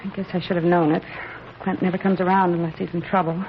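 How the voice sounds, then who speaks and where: A woman's voice: lowish voice, little pitch movement, quick pace, natural voice. An American woman playing an anxious, chatty wife who is fed up with her stepbrother, in a 1950s radio drama. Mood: weary, indignant. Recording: dull, noisy vintage radio recording.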